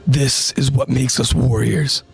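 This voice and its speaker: A man voicing a cold, ruthless young male soldier in a low, menacing tone. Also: fairly even intonation, measured pace, soft background music. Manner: low, menacing, gravelly delivery.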